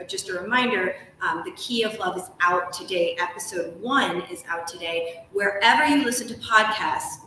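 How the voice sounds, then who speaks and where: An American woman interviews in a casual, enthusiastic style, talking at length and working out her thoughts aloud. Her medium-pitched voice sounds far from the microphone in a strongly reverberant room. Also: bright, forceful tone. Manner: enthusiastic, wordy, thinking aloud. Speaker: host, interviewer. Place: large hotel sitting room, live stream. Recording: very echoey, distant, background noise.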